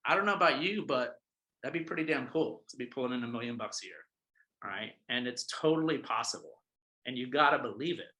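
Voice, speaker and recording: A man's voice: mid-range voice, lively intonation, a little fast, pushed and bright, poor audio. A man in his thirties coaching other realtors in a casual, emphatic motivational talk, repeating his points and checking in with the listeners. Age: 30s. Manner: emphatic, repeats points, often adds "all right?". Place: office.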